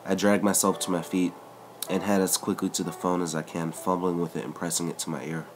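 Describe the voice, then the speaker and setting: A man narrates a first-person story in a measured, even read. His voice sits very low and deep. A clear music bed plays beneath the whole narration. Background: background music.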